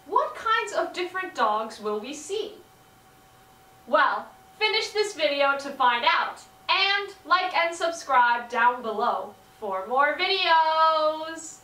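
A young woman hosting for children, presenting to camera with animated delivery, recorded a little way from the microphone in a room. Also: sits high, takes it slowly. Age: young adult. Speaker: children's channel host. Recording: roomy, slightly distant microphone.

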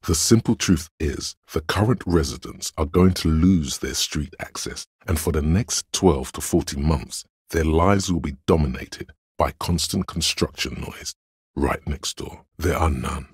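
An actor voicing a cool, analytical inner voice of logic in a detective game, narrating in a measured, dryly wry way. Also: male voice, a bass voice, slightly rough, dry recording, clean studio sound, full character voice. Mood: matter-of-fact. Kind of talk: scripted second-person game narration.

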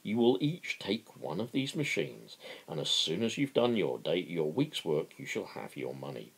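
A man voicing a working man who patiently lectures as a pretend capitalist in a novel, emphatic and explanatory, with a gravelly voice. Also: low-pitched, big pitch swings, normal speed, exaggerated voice.

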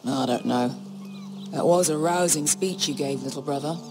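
An actor playing a tough, loyal mercenary in a fantasy game, blunt, weary and protective. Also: male voice, fairly high pitch, normal speed, vocal fry, noisy background. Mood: resigned, loyal. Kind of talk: dramatic fantasy game acting.

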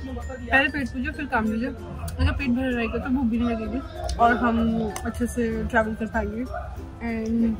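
A high, bright-voiced young woman talks cheerfully into a camera held at arm's length, mixing Hindi and as she travels. Loud music runs beneath her voice throughout the recording. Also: level tone, measured pace, rough recording. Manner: chatty and upbeat, talking straight to camera. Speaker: travel vlogger. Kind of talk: casual on-camera travel vlog, Hindi-English mix. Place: inside a bus and at roadside stops. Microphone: handheld camera at arm's length.

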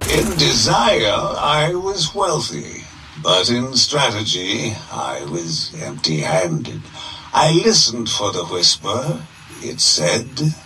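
An actor playing a zealous, grandiose merchant prophet of greed in a theatrical, deliberate game audio log monologue. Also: male voice, medium pitch, bright, forceful tone, distant mic, a little hiss or hum, full character voice, rough recording. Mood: proud, grandiose, then solemn, preaching.

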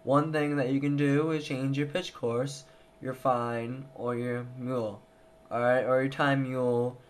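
A man's voice: mid-range voice, flat delivery, unhurried. A young adult man giving a casual, hesitant tutorial, thinking aloud as he goes. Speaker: tutorial presenter. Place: indoors at a computer. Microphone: handheld camera mic. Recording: noisy handheld camera recording.

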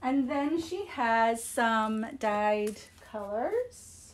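A middle-aged woman with a slightly high voice hosts a casual live product showcase. She talks in a chatty, unscripted, appreciative way, speaking into a phone in a small room. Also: expressive, drawn-out words. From Scotland. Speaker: yarn shop host presenting products. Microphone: phone at arm's length.

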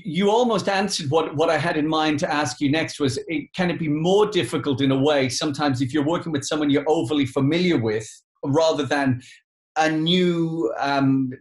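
A middle-aged man hosting a chatty interview from a living room, with wordy, roundabout questions. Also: fairly high pitch. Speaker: radio host, interviewer. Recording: echoey living room video call.

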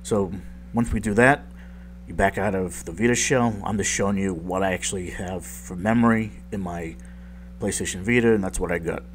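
A man with a very low, groggy voice, like he just woke up, talks casually through a software tutorial. He rambles and pokes fun at his own mistakes. A faint hum sits under the recording. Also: moderate pace. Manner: rambling, self-deprecating, lots of ums. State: just woke up, deep morning voice. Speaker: tech tutorial youtuber.

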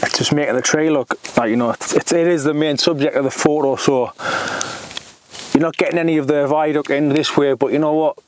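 Chatty photographer out on the moors. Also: male voice, fairly high pitch, steady tone, brisk, a little hiss or hum. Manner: chatty, explaining as he works. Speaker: landscape photographer. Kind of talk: casual on-location vlog. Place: outdoors on open limestone moorland. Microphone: lapel mic.

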